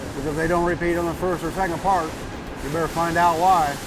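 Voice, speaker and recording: A factory worker speaking in a plain-spoken, practical way in an interview. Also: male voice, fairly high pitch, fairly even intonation, quick pace, very noisy.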